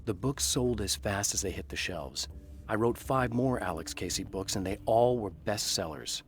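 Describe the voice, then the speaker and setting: An actor playing a brooding, tormented novelist in a video game, narrating gravely and with measured delivery like noir. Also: male voice, on the low side, animated, slight background noise, lightly acted. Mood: somber, regretful. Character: brooding tormented bestselling crime novelist hero, adult man. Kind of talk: scripted first-person noir narration.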